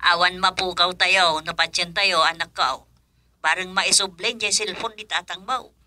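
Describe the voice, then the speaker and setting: A woman's voice: lowish voice, fairly even intonation, moderate pace, bright, forceful tone, a little hiss or hum. A woman acting a bossy middle-aged village wife and mother in an Ilocano radio comedy drama, scolding and exclaiming. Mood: nagging.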